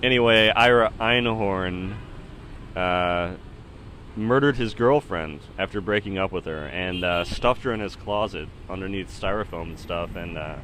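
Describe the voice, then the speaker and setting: A man's voice: low-pitched, a little slow, a bit bright, a little hiss or hum. A man in his thirties giving casual, rambling spoken commentary in a hesitant, musing way that often trails off. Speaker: solo podcast host.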